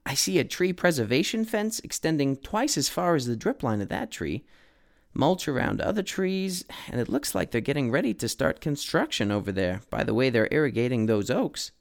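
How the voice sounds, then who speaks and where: A man putting on a keen young male sidekick, his voice lifted well above his own and bouncing lively. He sounds eager and quick to get excited, like a character in a read-aloud mystery. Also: medium pitch, creaky, voice pushed up. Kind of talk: storybook reading.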